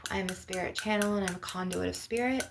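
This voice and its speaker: A woman hosting a spiritual ASMR session, speaking softly and at times in a near-whisper, with a drawn-out delivery. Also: lowish voice, creaky. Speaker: ASMR host and spiritual healer. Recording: noisy recording with clicking sounds.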